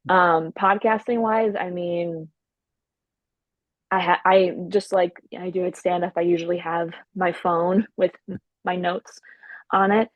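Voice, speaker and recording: A woman's voice: lowish voice, steady tone, a little slow, dry recording, phone-quality sound. A woman comedian guesting on a podcast, talking casually with a stutter, repeating sounds and restarting words, and swearing freely. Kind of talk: casual chat.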